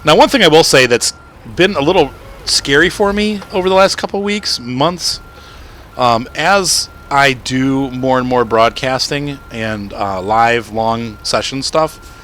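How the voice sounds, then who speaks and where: A man in his thirties chats his way through a driving game from home, rambling with plenty of ums and soft laughs. His voice has a bright, tense edge and a faintly crackly roughness, sitting at an ordinary pitch for a man. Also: measured pace. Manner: rambling, chatty, with frequent fillers and soft laughs. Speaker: gaming channel host. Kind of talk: casual live-stream gameplay commentary.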